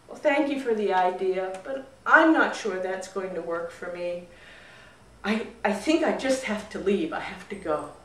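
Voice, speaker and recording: A restless young man, acted out by a woman storyteller, speaks with earnest frustration and slow emphasis. It is a storybook performance, heard at some distance in an echoing room. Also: medium pitch, animated, fairly bright tone. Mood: reluctant. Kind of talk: live storytelling. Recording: roomy echo, distant mic.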